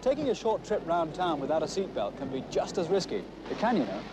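Lively, higher-pitched young man, noisy film. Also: fairly quick. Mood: brisk, matter-of-fact. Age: young adult. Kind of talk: 1970s public information film monologue. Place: outdoors on a city rooftop. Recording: noisy old outdoor film soundtrack.

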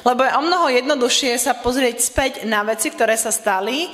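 A woman in her thirties interpreting a sermon phrase by phrase in a measured way. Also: fairly high pitch, a bit bright. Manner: measured, follows each phrase steadily. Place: church hall. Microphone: stand mic. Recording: reverberant church hall.

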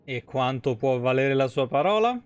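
A man's voice: mid-range voice, lively intonation, normal speed, clear, resonant tone, faint music behind. A man in his thirties, a game streamer talking casually and informally in speech on a close mic. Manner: informal, crude slang. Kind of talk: casual live commentary.

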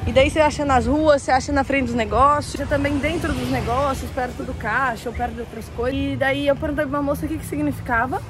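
Young woman, Brazilian Portuguese accent, high voice, very quick, outdoor phone recording, traffic noise behind. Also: steady tone. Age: young adult. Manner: chatty, joking, self-mocking asides. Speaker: travel vlogger. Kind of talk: casual travel vlog to camera. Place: outdoors on tropical streets and a beach club. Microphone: phone camera held at arm's length.

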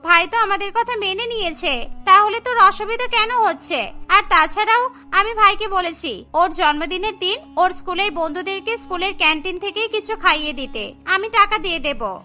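A woman's voice: very high-pitched, moderate pace, pushed and bright, soft background music, full character voice. A woman voicing a young sister in a family cartoon, scolding but affectionate. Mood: chiding. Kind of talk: cartoon dialogue.

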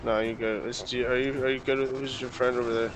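A man's voice: medium pitch, flat delivery, fairly quick, slight background noise, rough recording. A man acting as game moderator, explaining rules in casual, matter-of-fact talk over voice chat. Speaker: game server staff moderator. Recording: game voice chat with crowd behind.

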